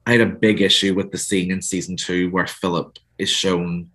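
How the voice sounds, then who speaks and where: A man's voice: deep voice, flat delivery, moderate pace, a bit bright. A man with a Northern Irish accent, an author talking as a guest in a conversational interview, thoughtful and hesitant with many restarts. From Northern Ireland.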